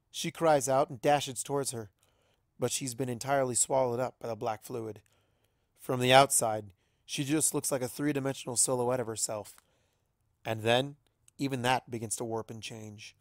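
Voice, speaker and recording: A man's voice: mid-range voice, no echo. Dramatic male story narrator, dry sound. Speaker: amateur fan fiction reader.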